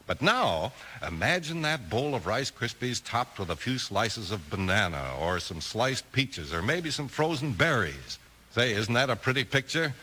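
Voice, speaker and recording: An American man works as a vintage radio announcer, selling and narrating in 1950s style. His delivery is bright and animated, and his voice carries some rasp. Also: medium pitch.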